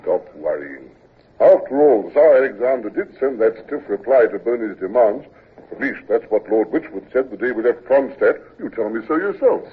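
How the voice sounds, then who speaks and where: A calm, husky British sea captain. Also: male voice, mid-range voice, normal speed. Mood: placid. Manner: calm and soothing. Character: steady, placid British naval captain, old friend. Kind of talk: 1940s radio drama acting. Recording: tinny old radio transfer.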